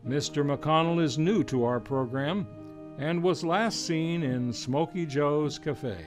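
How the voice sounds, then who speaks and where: A man's voice: fairly high pitch, takes it slowly, over music. A man announcing an old-time style radio drama in scripted, formal introductions.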